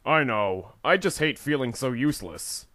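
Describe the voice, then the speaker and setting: Man playing an earnest, animated young friend. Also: mid-range voice, moderate pace, no room sound, studio quality, natural voice. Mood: frustrated. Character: worried, frustrated young male friend, casual and earnest. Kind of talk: audio drama acting.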